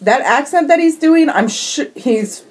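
A woman's voice: high-pitched, animated, unhurried, a little mellow. A young woman co-hosting a casual podcast chat, earnestly talking through her views. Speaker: podcast co-host.

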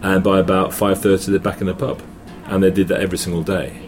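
A man's voice: low-pitched, flat delivery, fast. A man in his fifties with an English accent, a podcast guest telling reflective anecdotes in casual chat. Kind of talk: casual chat over a pint. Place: noisy hotel. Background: ambient chatter.

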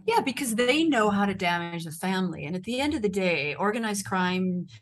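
A woman's voice: medium pitch, expressive, a little fast. An American woman journalist answering interview questions in a thoughtful, conversational way, often restarting her sentences. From United States. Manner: thoughtful, with frequent restarts and fillers. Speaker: journalist and author, interview guest.

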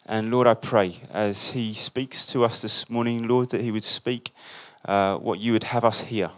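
A man leading a spoken prayer in a church service, halting, with many fillers. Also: lowish voice, fairly even intonation.

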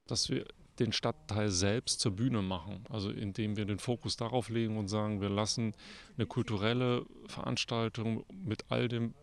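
A man's voice: on the low side, steady tone, normal speed. A man explaining in an interview, rephrasing his points for emphasis. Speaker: project organiser interviewee.

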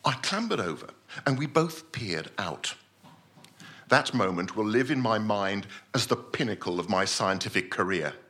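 A man playing a pompous, self-assured gentleman scientist telling his adventure in a theatrical story reading. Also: lowish voice, expressive, normal speed, pitched below the performer's own voice. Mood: self-assured, enthusiastic. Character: pompous, obsessive Victorian-style gentleman scientist recounting his deep-sea adventure.

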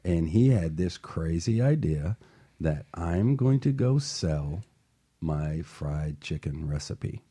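A male talk show host with a very low voice. He tells stories in a loose, chatty way and keeps asking "right?" to check in. His tone is a little husky. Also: lively intonation, slow, warm tone. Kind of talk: casual talk show storytelling.

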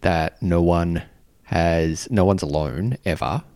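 A man hosting a podcast interview, speaking gently and haltingly with many fillers and restarts. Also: low-pitched, clean tone, no echo, clean studio sound. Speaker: podcast host. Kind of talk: casual interview. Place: Canberra.

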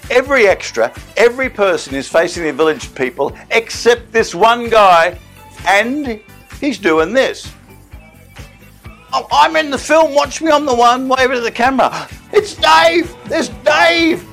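Lively, chatty man presenting. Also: very high voice, vocal fry. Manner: chatty, enthusiastic, playful asides. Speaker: host presenting a film-night special. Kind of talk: casual upbeat presenting.

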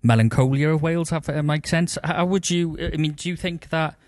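A man's voice: on the high side, steady tone, brisk, a little mellow, no echo, studio quality. Hesitant male interviewer. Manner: hesitant, thinking aloud with fillers. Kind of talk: interview questions.

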